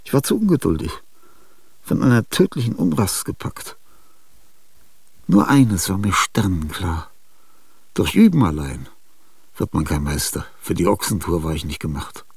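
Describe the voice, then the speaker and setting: A brooding, aging musician in a radio play who talks in slow, philosophical turns. The voice is dark, husky and gravelly, heard from a little way off the mic in a dry space with faint music underneath. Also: male voice, low-pitched, very animated, no room sound, distant mic, heavily acted. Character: brooding aging male musician obsessed with death. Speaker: actor in a radio drama. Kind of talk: radio drama monologue.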